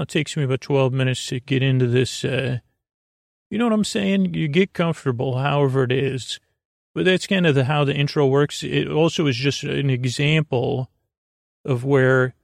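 A man with a creaky voice hosting a bedtime podcast, meandering hesitantly through a soothing, goofy monologue that keeps interrupting itself. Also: medium pitch, no room sound, clean studio sound. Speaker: podcast host.

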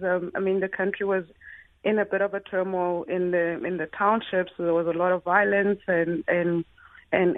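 A woman from South Africa in her forties, an entrepreneur speaking in a measured, thoughtful way with frequent pauses. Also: mid-range voice, no echo. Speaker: entrepreneur and company founder. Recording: tinny phone line.

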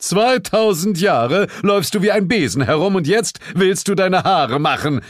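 A man's voice: high-pitched, expressive, normal speed, no echo, clean studio sound, pitched above the performer's own voice. A man voicing a bossy, hot-tempered devil in an audiobook, bellowing and irritable. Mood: furious.

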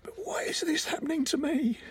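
An actor in an audio drama playing a distraught, stubborn elderly male thief brought back to life, halting and pleading. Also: very high-pitched, in a whisper. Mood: distressed, protesting. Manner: halting, disbelieving, pleading, colloquial.

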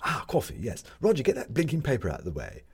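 A man voicing a smug young gentleman who lectures dismissively over breakfast. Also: medium pitch, wildly swooping pitch, quick pace, slightly rough, voice pushed up, heavily acted. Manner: dismissive, preachy.

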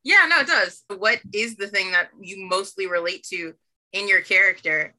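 A woman's voice: medium pitch, moderate pace, bright, forceful tone. A woman hosting a casual interview and asking loose, profane questions full of fillers. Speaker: host and writer.

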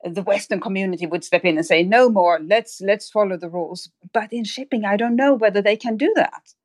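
A woman's voice: mid-range voice, a little fast, darkish tone, no echo, clean studio sound. A woman from Sweden giving analytical, explanatory interview answers as an expert guest, rephrasing as she goes.

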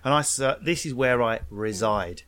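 A man's voice: mid-range voice, normal speed. A man with an Essex accent hosting a podcast, chatting casually with guests and swearing freely. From England. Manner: loud laughing, swearing, playful put-on voices. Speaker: podcast host. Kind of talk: casual interview chat.

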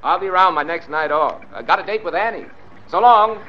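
A man's voice: high voice, lively intonation, a little fast. An actor playing a cheerful young motorcycle policeman in an old-time radio drama, with an American accent and breezy, mock-formal banter. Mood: friendly, casual. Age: young adult. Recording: dull, noisy vintage radio recording.

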